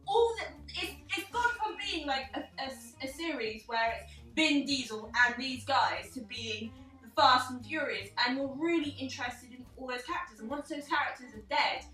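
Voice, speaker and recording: A woman chatting casually about a film, halting as she thinks and leaning on filler words like "like". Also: high voice, expressive, pushed and bright, recorded from a distance. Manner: chatty, halting, peppers speech with "like". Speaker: panel member in a film discussion. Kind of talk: casual chat. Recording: echoey room, off the mic, faint music.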